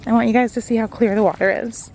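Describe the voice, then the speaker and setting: A young woman vlogging on her phone outdoors at a beach resort, her voice raspy from being sick, talking to viewers in a chatty way with lots of "like". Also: a little high, fairly even intonation, fast. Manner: chatty, lots of "like", talks to viewers as "you guys". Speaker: vlogger, nursing student. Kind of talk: casual vlog narration. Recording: roomy outdoor sound with loud insect noise. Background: crickets, insects chirping.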